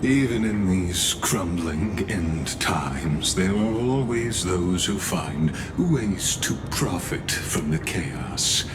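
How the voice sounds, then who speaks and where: A man voicing a grave, reflective young hero in a video game, with a measured, analytical, stern delivery. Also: deep voice, lively intonation, noisy background. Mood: pensive, somber. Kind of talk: video game voice acting, inner monologue. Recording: loud music behind the voice.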